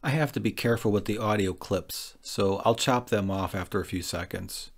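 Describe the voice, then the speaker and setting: A man's voice: fairly low pitch, normal speed. Man narrating a gear review over music. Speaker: product reviewer and host. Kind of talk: scripted narration of a gear demonstration.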